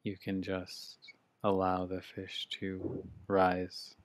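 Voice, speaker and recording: A man leading a live guided meditation, speaking calmly and slowly with long pauses between phrases, from home over a video call. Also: low-pitched. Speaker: meditation teacher. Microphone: video call mic.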